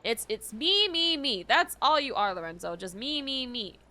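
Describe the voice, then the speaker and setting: A young woman streamer giving casual, slangy, expressive live reactions as she plays a game. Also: fairly high pitch, normal speed.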